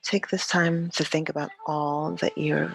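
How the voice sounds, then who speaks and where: A yoga teacher leading a guided meditation in a calm, soothing, unhurried way with long pauses. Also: medium pitch, gravelly, a little hiss or hum, rough recording.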